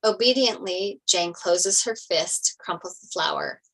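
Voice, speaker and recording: A woman's voice: medium pitch, unhurried, fairly bright tone, rough recording. A woman reading stage directions as narrator in a table read of a TV script.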